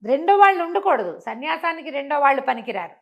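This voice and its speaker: A woman's voice: high-pitched, fast, dull audio. An older woman telling a moral folk tale in an interview, acting out the characters' lines as she goes. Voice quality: animated, acts out the characters' lines within the telling. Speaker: storyteller.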